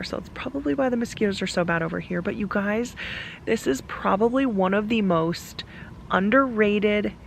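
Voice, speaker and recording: Hoarse lady vlogger rambling outdoors at camp, lots of likes and laughs, faint outdoor noise. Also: medium pitch. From Alaska. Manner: chatty, laughs often, lots of fillers. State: losing her voice from a cough. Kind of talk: casual vlog talk to camera. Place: outdoors at a campground.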